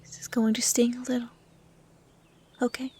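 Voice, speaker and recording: A woman voicing a gentle, curious young dryad who tends the forest, speaking in a soft, breathy near-whisper in an intimate ASMR roleplay style. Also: on the high side, flat delivery, normal speed, slight background noise, natural voice. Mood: tender, caring. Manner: hushed, breathy, caring delivery, often near whispering.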